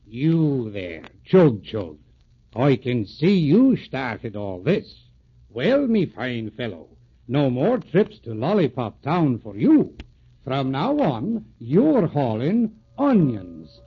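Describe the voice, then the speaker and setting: A man's voice: medium pitch, very animated, slow pace, husky, heavily acted. A man voicing a stern adult male engine master in a children's storybook record, scolding, then fatherly. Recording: tinny old phone-like record.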